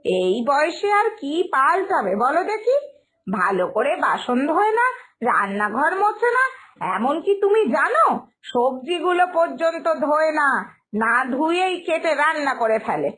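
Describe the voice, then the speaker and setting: Woman acting a high-pitched, scornful Bengali mother-in-law. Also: big pitch swings, normal speed, a bit bright. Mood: indignant. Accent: West Bengal Bengali. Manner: disdainful, nagging, emphatic. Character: fussy, scornful middle-aged mother-in-law obsessed with cleanliness. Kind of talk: Bengali cartoon family drama acting.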